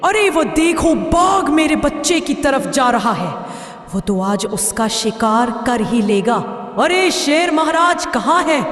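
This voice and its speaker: High-pitched, anxious mother elephant, voiced by a woman. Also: big pitch swings, takes it slowly, fairly bright tone, heavily acted. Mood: fearful. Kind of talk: Hindi animal cartoon voice acting. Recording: distant, echoey reverb under music.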